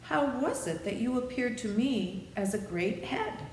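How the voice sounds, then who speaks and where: A woman's voice: a little high, expressive, measured pace, a little mellow, reverberant, big-room sound, distant mic, a little hiss or hum, voice pushed up, low-quality recording. A woman voicing an earnest young girl in a storybook, bewildered and full of questions. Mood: bewildered, curious. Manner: bewildered, inquisitive, exclaiming.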